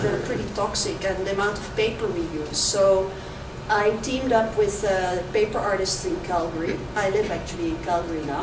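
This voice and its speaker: A woman with a Dutch accent, raised in the Caribbean, giving an artist talk with a hesitant, filler-heavy manner. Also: medium pitch. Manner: hesitant, lots of ums and uhs. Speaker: visiting artist. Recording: echoey room from far off with noise.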